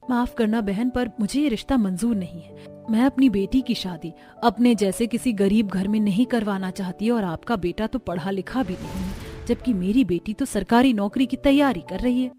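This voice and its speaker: A woman voicing a proud, ambitious middle-aged mother in an animated story. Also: mid-range voice, normal speed, faint music behind, lightly acted. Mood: proud, firm. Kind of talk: animated story character dialogue.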